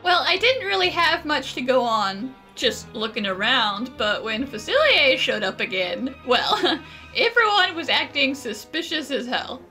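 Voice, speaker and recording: High, bright female gamer with animated delivery. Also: measured pace, faint music behind. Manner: sarcastic, joking. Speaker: gaming channel host. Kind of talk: playful gameplay commentary, improvised character dialogue.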